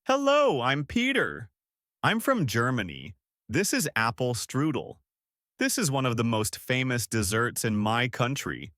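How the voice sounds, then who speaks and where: Man voicing a young student, proud, bright voice, dry sound. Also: mid-range voice, big pitch swings, unhurried, no room sound.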